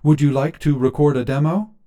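Man reading as polite, mellow phone AI assistant. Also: a little high, fairly even intonation, brisk, voice pushed up, lightly acted. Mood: upbeat, helpful. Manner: polite, scripted, artificially helpful. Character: polite female phone AI assistant, mildly upbeat.

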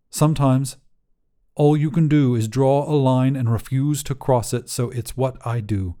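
A man narrating a literary story as a weary, desolate immortal guardian, in a solemn, measured, brooding manner. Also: medium pitch, warm tone, natural voice. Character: weary, desolate immortal guardian, forsaken by gods, telling their tale.

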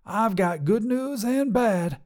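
A man's voice: sits high, lively intonation, takes it slowly, darkish tone, pitched above the performer's own voice. A man voicing a wiry, mocking woman trucker who turns out to be a demon, with a drawling, teasing, folksy manner. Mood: sly teasing.

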